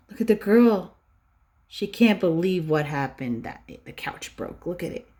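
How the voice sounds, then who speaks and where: A woman teacher reading a picture book aloud to young children in an expressive, instructive way, often pausing to ask them questions. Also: on the low side, slightly rough.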